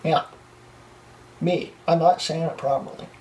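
A man's voice: fairly high pitch, steady tone, a little slow, darkish tone. A young man hosting a tech vlog, stumbling through retakes, laughing at his mistakes and losing his voice. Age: young adult. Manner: flustered, laughing at his own slip-ups. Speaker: tech channel host. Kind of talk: blooper reel of a tech vlog. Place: small home room full of computers. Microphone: camera mic from a few feet away. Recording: distant camera mic, faint room hiss.

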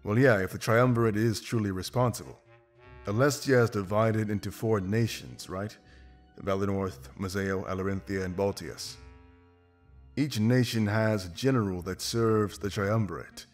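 Man playing a low-voiced blunt wolf mercenary. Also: steady tone, normal speed, natural voice. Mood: explaining. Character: confident gruff male wolf mercenary, pragmatic. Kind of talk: video game voice acting. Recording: music behind. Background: game music.

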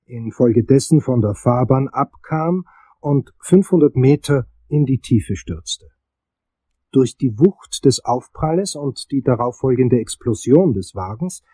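An actor playing an unimpressed private investigator in a radio drama, speaking in a husky, understated, matter-of-fact way. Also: male voice, medium pitch, warm tone, no room sound. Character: dry, unimpressed male private investigator narrating his case. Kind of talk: radio drama acting and scripted narration.

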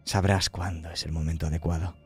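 A man playing a menacing, persuasive villain who bargains calmly in slow, measured phrases for a tabletop horror game. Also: sits low, faint music behind, voice pushed down, close to an everyday voice. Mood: coaxing menace.

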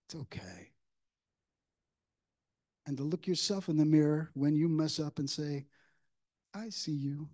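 A man in his sixties preaching a reflective, informal, conversational sermon with halting pauses into a lectern microphone in a church. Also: fairly high pitch, lively intonation, mellow. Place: church sanctuary, at a wooden lectern. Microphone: microphone on a stand at the lectern.

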